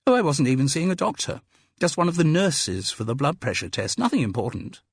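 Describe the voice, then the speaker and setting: A man's voice: fairly high pitch, sing-song, a little fast, husky, no room sound, clean studio sound, pitched above the performer's own voice, heavily acted. A man voicing a prim, fussy older British woman who complains in a clipped way, in an audiobook. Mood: brisk, dismissive. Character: prim, sensible older woman, grumbling and reserved.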